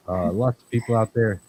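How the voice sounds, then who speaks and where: A man hosting a live chat show, talking in a calm, rambling way with many fillers. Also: medium pitch, husky, low-quality recording. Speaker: host. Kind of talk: casual livestream chat.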